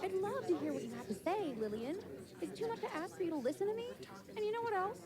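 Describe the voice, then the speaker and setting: A woman's voice: extremely high pitch, lively intonation, fast, a little mellow. A woman playing a talkative stage magician in a naturalistic audio drama, speaking earnestly and with emphasis. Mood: exasperated.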